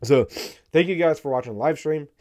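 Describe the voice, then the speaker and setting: A man in his thirties hosting a crypto live stream, hoarse and losing his voice, talking bluntly with wry laughs into a studio mic. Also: a little high, fairly quick, mellow. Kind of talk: casual opinionated commentary. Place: dark studio room, indoors. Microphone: studio mic on stand in front.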